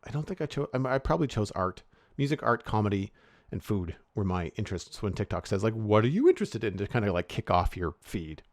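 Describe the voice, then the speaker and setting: A man's voice: lowish voice, big pitch swings, fairly quick, no room sound. A man co-hosting a podcast, chatting casually and rambling with many restarts and fillers. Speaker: podcast co-host.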